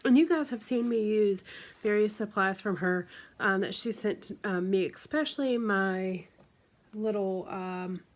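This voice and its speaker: A woman's voice: medium pitch, measured pace. Chatty, congested woman unboxing craft supplies. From United States. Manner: chatty, rambling, many ums and likes. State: congested from the flu. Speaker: craft vlogger. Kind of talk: casual show-and-tell unboxing.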